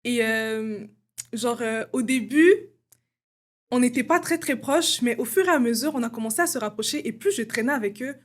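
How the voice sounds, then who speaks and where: A woman's voice: fairly high pitch, normal speed. A young woman from Congo, a student guest, talking casually in an interview with lots of fillers. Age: young adult. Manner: casual, many fillers like "genre" and "euh".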